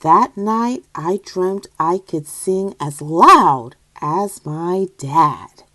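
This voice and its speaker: Female children's story reader: very slow, deliberate delivery with lively intonation, close dry sound. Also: on the low side, no echo. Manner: deliberate, expressive. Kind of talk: expressive picture book read-aloud.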